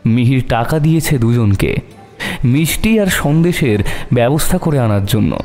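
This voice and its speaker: A man's voice: mid-range voice, expressive, moderate pace, darkish tone. A man narrating a scripted horror audio story in a dramatic storytelling style. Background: music.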